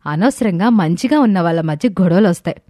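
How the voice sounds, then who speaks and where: Principled young wife, earnest and firm, actress reading, dry close sound. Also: female voice, fairly low pitch, lively intonation, brisk, mellow, dry recording. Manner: earnest, firm, clearly spoken. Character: young village daughter-in-law, calm and principled.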